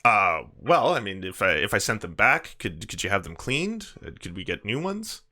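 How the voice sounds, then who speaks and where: A man's voice: lowish voice, very animated, moderate pace, pushed and bright. A man making a prank phone call, complaining with earnest, indignant insistence. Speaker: prank caller posing as churchgoing parent.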